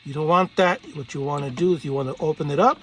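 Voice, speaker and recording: A man presenting an informal hands-on technical demonstration, explaining step by step in halting, instructional phrases. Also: fairly high pitch. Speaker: tutorial presenter, radio hobbyist.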